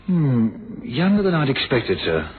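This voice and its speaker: A chatty police sergeant of radio drama, reports with clipped, wry deference. His pitch is medium. The old recording is tinny and clearly noisy. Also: wildly swooping pitch, unhurried. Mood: wry, dutiful. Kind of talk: 1970s radio drama acting.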